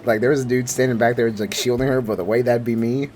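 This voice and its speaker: A man's voice: medium pitch, fairly even intonation, fast, darkish tone, a little hiss or hum. A young man giving casual, sweary, slangy reaction commentary over a video game. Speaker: gaming YouTuber. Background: game music.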